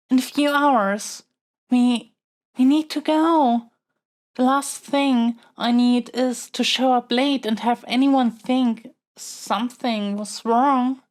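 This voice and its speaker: A woman playing a lovestruck young princess in a romantic fantasy audio roleplay, speaking in a flustered, stammering, earnest way. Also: high voice. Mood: hurried, eager. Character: lovestruck young princess smitten with her dragon kidnapper.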